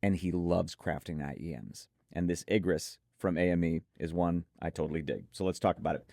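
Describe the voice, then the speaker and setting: Male review co-host, lower register, opinionated and enthusiastic, conversational delivery, very dry sound. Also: fairly even intonation, fairly quick, dark tone, no room sound. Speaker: headphone show co-host reviewer. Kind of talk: conversational product review commentary.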